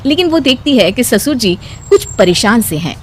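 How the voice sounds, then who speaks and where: Slightly high-voiced woman narrating a story. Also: sing-song, moderate pace, slight background noise.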